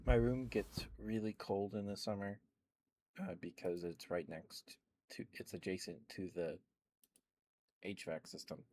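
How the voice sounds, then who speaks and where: Slow, low-voiced man rambling softly. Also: fairly even intonation, slight background noise. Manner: soft rambling talk with many fillers and laughs. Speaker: ASMR streamer. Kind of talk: rambling ASMR chat.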